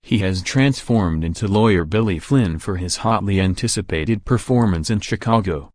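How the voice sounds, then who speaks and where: A man narrating entertainment news in a steady, scripted read with no fillers. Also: low-pitched, moderate pace, dry recording, clean studio sound.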